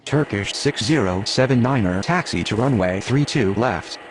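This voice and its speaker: A man's voice: fairly low pitch, expressive, moderate pace, lightly acted. A man voicing a businesslike airline radio operator in a game, speaking in clipped, procedural phrases. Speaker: pilot and ground radio voice. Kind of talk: scripted radio phraseology in an air traffic control game. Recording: clear aircraft and outdoor noise.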